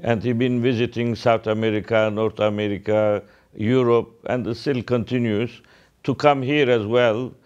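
A man gives a formal, solemn religious address as an archbishop, phrasing deliberately in short groups. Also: mid-range voice, fairly even intonation, a little mellow, clean tone, dull audio. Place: indoor office, seated at a desk.

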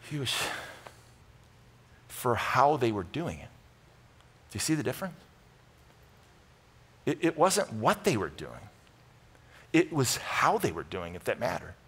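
A middle-aged American man preaching a conversational sermon, emphatic and animated, moving between loud bursts and hushed asides. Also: medium pitch, unhurried, pauses often, breathy edge. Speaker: pastor preaching. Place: large church auditorium stage with audience.

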